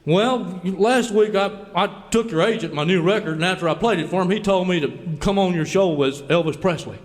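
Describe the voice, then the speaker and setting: An actor playing a bumbling, hopeful country singer and failed impostor in a radio comedy, halting, folksy and sheepish. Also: male voice, sits high, lightly acted. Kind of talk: live radio comedy acting. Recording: live hall echo, faint noise.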